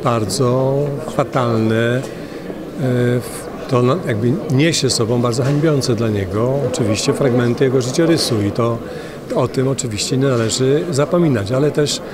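An older man, a member of parliament, answering interview questions haltingly and with many filler sounds. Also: mid-range voice, noisy background. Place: large indoor parliament hall. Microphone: handheld interviewer mic.

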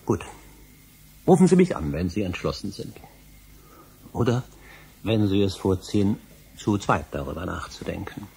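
A man's voice: deep voice, expressive, normal speed, vocal fry, pauses often, darkish tone, breathy edge, exaggerated voice. An actor playing an obsessive middle-aged male scholar in a German radio drama, speaking emphatically and rhetorically. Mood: courteous, confiding. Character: obsessive older male scholar, insistent and indignant.

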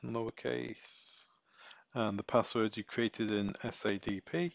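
A man giving a casual step-by-step software tutorial, thinking aloud as he works. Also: on the low side, fairly even intonation, unhurried, gravelly, no echo.

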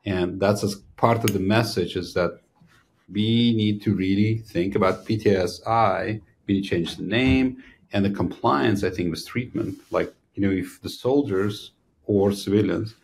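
A man in his sixties, born in Ukraine, hosting an interview in a small studio on a desk podcast mic, explaining in an animated way. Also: on the low side, a little slow, gravelly, slight background noise. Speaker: physician host. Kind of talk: interview conversation. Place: small studio room with a banner.

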